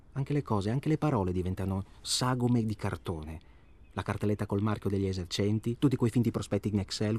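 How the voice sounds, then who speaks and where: A man's voice: mid-range voice, warm tone, no echo, close to an everyday voice. An actor playing a weary, restless man tormented by his job, who rambles in an agitated, sardonic, naturalistic way. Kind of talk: naturalistic radio drama dialogue.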